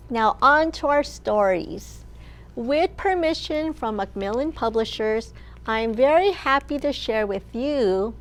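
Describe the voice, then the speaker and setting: A woman librarian hosting a children's storytime, reading aloud in simple, deliberate phrases meant for young children. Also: high-pitched, darkish tone.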